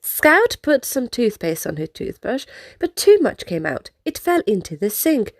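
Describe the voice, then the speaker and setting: A woman reading a children's picture book aloud in an expressive storytelling style. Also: on the high side, a little slow, no room sound.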